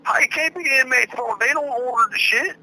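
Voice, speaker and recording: A man's voice: very high voice, brisk, pushed and bright. An American man, an inmate on a prison telephone, chats casually with blunt, aggrieved complaining and heavy swearing. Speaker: prison inmate on a phone call. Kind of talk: casual, profane phone chat. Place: prison phone. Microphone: telephone handset. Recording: noisy prison telephone line.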